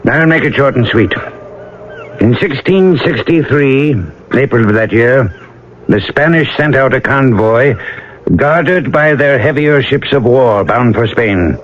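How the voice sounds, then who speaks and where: A man's voice: mid-range voice, lively intonation, a little slow, close to an everyday voice. A male actor playing a commanding, wealthy yacht owner with a blunt, clipped and wry delivery in a radio drama. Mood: wry, determined. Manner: clipped, blunt, humming before replies. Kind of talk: 1980 radio drama dialogue. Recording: muffled old radio broadcast, faint noise.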